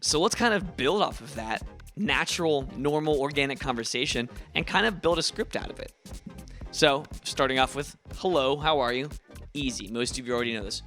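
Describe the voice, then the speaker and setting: A young man with an Ohio accent hosts a casual video lesson, talking in a chatty, encouraging way. His voice is bright and sits at a middling pitch. Faint music plays under his voice. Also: expressive, normal speed. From Ohio, USA. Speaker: language teacher, channel host.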